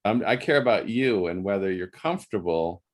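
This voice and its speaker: A man's voice: low-pitched, lively intonation, fairly quick. A man hosting an interview, speaking reflectively and repeating words as he searches for the right ones. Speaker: interview host. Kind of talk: casual interview chat.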